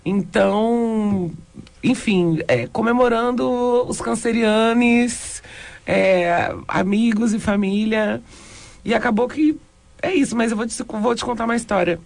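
A woman from Porto Alegre, a DJ and cultural producer, chatting as a radio guest and telling stories in a lively way. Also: fairly high pitch, measured pace, vocal fry. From Porto Alegre, Brazil. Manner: lively storytelling, laughs easily. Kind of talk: conversational interview. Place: radio studio.

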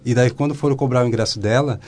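A man's voice: medium pitch, fast talker, darkish tone. A man interviewing a guest, attentive and asking long, rambling questions. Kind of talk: conversational interview.

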